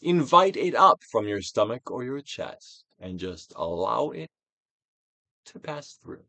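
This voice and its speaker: Theatrical man with sweeping, dramatic pitch swings. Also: very animated, gravelly, pushed and bright, dry recording. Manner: declamatory Shakespearean stage delivery, drawn-out emphatic phrases. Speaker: audiobook reader, actor. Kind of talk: theatrical dramatic reading of a self-help book.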